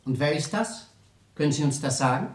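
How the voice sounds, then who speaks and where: A man's voice: on the high side, lively intonation, a little fast, lightly acted. A man playing an inquisitive questioner at an inquest in a German radio crime drama, formal and pointed, in a roomy sound. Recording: roomy, echoing studio sound.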